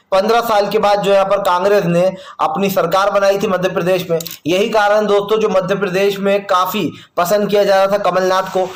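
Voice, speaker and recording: A man with a distinctly high voice delivers opinionated news narration in a roomy, echoing recording. He is emphatic and colloquial, often repeating set phrases as he speaks straight to his viewers as friends. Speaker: online news presenter.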